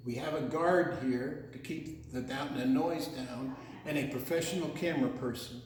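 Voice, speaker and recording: A man's voice: mid-range voice, normal speed, slight background noise. A man reading a story aloud to children in a theatrical, playful way, drawing out his words and adding asides. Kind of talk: animated read-aloud storytime with asides and sound imitations. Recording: very echoey room.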